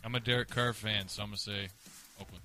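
A man's voice: lowish voice, steady tone, fast talker, sharp tone, a little hiss or hum. A man co-hosting a sports show in casual chat, with a joking, wry manner. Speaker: sports podcast co-host.

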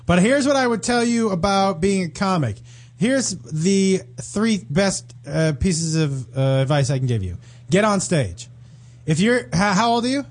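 A man's voice: high voice, sing-song, measured pace. A man co-hosting a comedy radio talk show, sarcastic and ranting. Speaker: co-host.

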